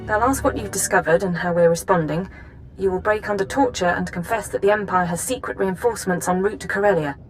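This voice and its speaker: A medium-pitched female voice from an actress plays a driven intelligence chief in a science fiction game. She is grave and controlled, speaking formally over faint music. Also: natural voice, rough recording. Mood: grave, determined. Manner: measured, formal briefing delivery. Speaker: mission handler in a sci-fi game. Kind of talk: dramatic game voice acting.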